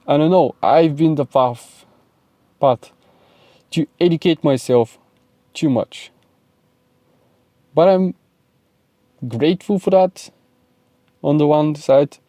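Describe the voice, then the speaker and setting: A man's voice: on the high side, warm tone, no room sound. A young man with a Bulgarian accent, giving an emphatic, repetitive motivational talk straight to camera. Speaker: self-improvement vlogger and speaking coach.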